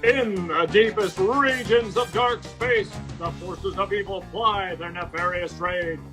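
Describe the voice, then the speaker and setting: A man performs a high-voiced, theatrical narrator for an old-time radio space comedy. He announces in grand, bombastic fashion over prominent music. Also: takes it slowly. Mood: grandiose.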